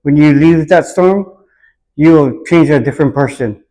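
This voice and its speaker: Higher-pitched man, earnest interviewee. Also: dark tone, poor audio. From Hawaii, United States. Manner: earnest, deliberate, repeats the question before answering. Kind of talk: reflective interview answers.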